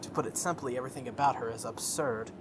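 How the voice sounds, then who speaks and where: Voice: medium pitch, quick pace, background noise. A gaming YouTuber reading a visual novel aloud and breaking off for casual, jokey commentary. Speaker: gaming YouTuber, let's player. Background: game music.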